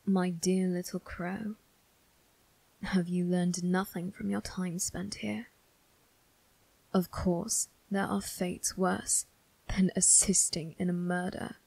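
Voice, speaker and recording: A woman performs a young male vampire crime boss for an ASMR roleplay, low and gravelly. He speaks slowly in a husky half-whisper, cold and possessive. His manner is measured, mocking and quietly menacing. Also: flat delivery, full character voice, low-quality recording. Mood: condescending menace. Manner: slow, measured, condescending. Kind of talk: ASMR character roleplay addressed to the listener.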